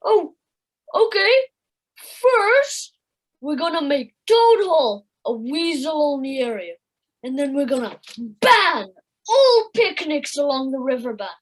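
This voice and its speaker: A woman's voice: high voice, very animated, takes it slowly, heavily acted, rough recording. A woman playing a dim, eager weasel henchman who blurts things out naively in a children's audio play. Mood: eager, boastful. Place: living room at home, recorded over video call.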